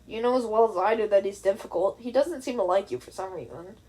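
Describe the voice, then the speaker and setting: A woman's voice: medium pitch, quick pace, dark tone, breathy edge, voice pushed down, natural voice. Woman voicing a tired, caring young woman. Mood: tired, earnest.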